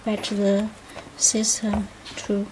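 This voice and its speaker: A woman demonstrating a product step by step without a script, speaking in short, halting fragments. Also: medium pitch, monotone. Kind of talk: unscripted step-by-step product tutorial. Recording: noisy room with clicks.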